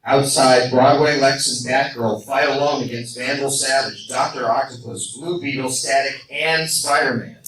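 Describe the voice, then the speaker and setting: A man's voice: medium pitch, steady tone, normal speed, few pauses, crowd noise. A man narrating superhero action from a script for a live radio play. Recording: echoey, distant, noisy fan recording.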